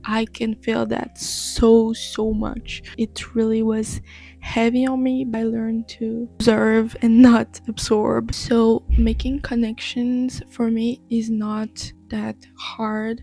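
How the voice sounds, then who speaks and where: Young woman from madagascar, french is her first language, slow calm vlog talk, kinda flat. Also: fairly high pitch, gravelly, soft background music. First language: Malagasy and French. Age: young adult. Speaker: vlogger. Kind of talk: casual talking-to-camera vlog.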